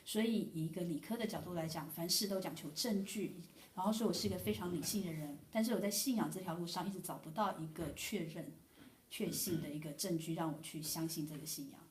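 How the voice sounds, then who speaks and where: A woman interpreting a sermon testimony sentence by sentence in a brisk, matter-of-fact way. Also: lowish voice, a little hiss or hum. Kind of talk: consecutive church interpretation. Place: church hall. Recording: echoey room from far off.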